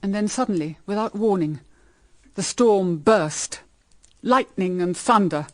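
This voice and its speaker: An actress playing an anxious, brooding middle-aged British mother in a period radio drama, with grave, measured, dramatic phrasing. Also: medium pitch, expressive, a little mellow, no echo, close to an everyday voice. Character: anxious, brooding mother of two grown daughters.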